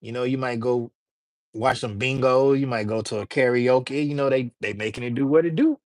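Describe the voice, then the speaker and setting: A man's voice: mid-range voice, moderate pace, no room sound, studio quality. Slangy man chatting on a livestream. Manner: loose, slangy, talks straight to chat. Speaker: livestream host. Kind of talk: casual livestream chat with viewers.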